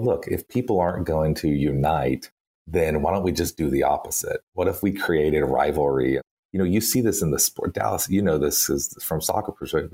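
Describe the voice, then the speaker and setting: Very deep husky Texan man. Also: a little mellow. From Texas. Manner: chatty, thinks aloud with many "like" and "you know". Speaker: interview guest, campaign strategist. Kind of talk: casual interview conversation.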